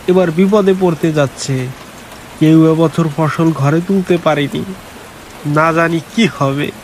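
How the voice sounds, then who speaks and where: A man's voice: fairly high pitch, darkish tone, poor audio. Man acting a plaintive, worried village farmer. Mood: despairing. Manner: plaintive, lamenting. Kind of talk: animated folk tale acting. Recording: faint hiss and rain noise.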